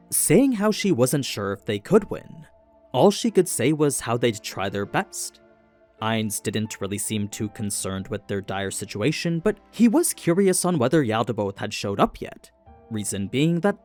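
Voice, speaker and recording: Male narrator for scripted story recaps, mid-pitched, animated intonation, explanatory delivery over background music. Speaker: narrator of anime lore recaps.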